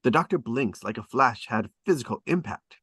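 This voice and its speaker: A man's voice: mid-range voice, expressive, normal speed, fairly bright tone, slightly rough, dry recording, clean studio sound. A man reading stage directions as dramatic scripted narration at a table read.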